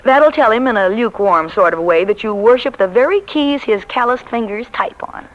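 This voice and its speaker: An actress playing a wry, sharp-witted American woman at a publishing office in a 1940s radio comedy, dry and teasing. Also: fairly high pitch, animated, moderate pace, heavy background noise, close to an everyday voice. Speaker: radio drama actor. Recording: muffled 1940s radio recording.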